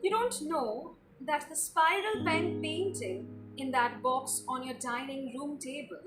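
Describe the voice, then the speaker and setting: A woman giving a dramatic reading of literary prose, speaking deliberately with expressive pauses. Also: sits high. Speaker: amateur reader performing a dramatic reading. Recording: roomy echo, distant mic, loud music behind. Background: loud instrumental music.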